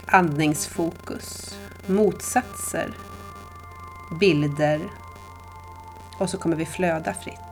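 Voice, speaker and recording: Calm, lower-voiced woman guiding meditation. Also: low-quality recording. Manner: calm, unhurried, soothing, with long pauses. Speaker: yoga nidra instructor. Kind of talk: guided meditation narration.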